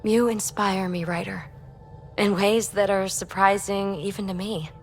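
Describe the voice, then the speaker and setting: An actress playing a feisty, affectionate starship crewmate in a video game, with a playful, informal manner. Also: female voice, mid-range voice, fairly even intonation, measured pace, soft background music, close to an everyday voice. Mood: fond, teasing.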